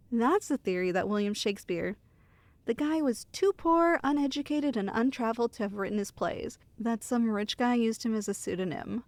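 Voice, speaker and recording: A young woman acting as a teasing, affectionate girlfriend in an ASMR roleplay. Her voice is fairly high and swings freely up and down as she chats reassuringly to one listener. It is close-miked and very dry, with a playful, intimate manner. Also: no echo. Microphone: close studio mic.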